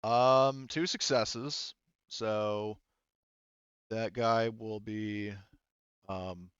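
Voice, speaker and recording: A smooth, fairly low-voiced man acts as game master. He talks casually through rules and dice rolls, often pausing to think aloud. The audio is dry and slightly muffled. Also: steady tone, fairly bright tone, no room sound. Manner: halting, thinking aloud while rolling dice. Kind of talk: casual tabletop game narration with rules talk.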